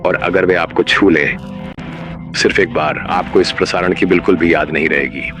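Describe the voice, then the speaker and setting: A man playing a grave, menacing emergency announcer in a scripted horror broadcast, speaking in a measured way. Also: low-pitched, fairly even intonation, over music, close to an everyday voice. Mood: grave, ominous. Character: ominous male emergency broadcast announcer warning of uncanny teachers. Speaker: narrator.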